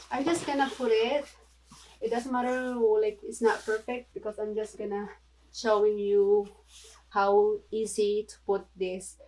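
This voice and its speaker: A woman from the Philippines with a Filipino accent, chatting casually in a home video tutorial, halting and apologetic with many fillers. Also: mid-range voice, darkish tone, rough recording. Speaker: vlogger. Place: small home room.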